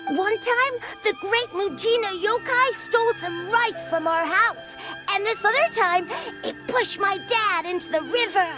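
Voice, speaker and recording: A woman's voice: extremely high pitch, unhurried, hardly any pauses, over loud music. A woman voicing a young village child in a video game, trusting, earnest and stubborn. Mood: earnest storytelling.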